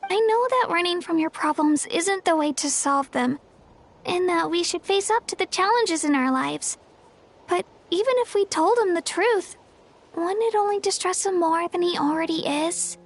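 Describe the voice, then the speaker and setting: A woman's voice: extremely high pitch, animated, normal speed. A woman voicing a sweet, innocent young girl in a video game, earnest, polite and a little hesitant. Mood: earnest concern. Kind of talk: video game dialogue acting.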